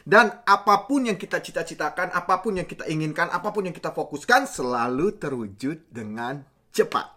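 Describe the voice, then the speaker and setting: Husky-voiced man with a cold, gesturing. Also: a little high, fairly quick. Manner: animated, gesturing with both hands, many restarts and "oke?" checks. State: recovering from a cold. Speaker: motivational channel host. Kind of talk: casual motivational talk to camera. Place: small home office, indoors. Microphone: camera at desk distance.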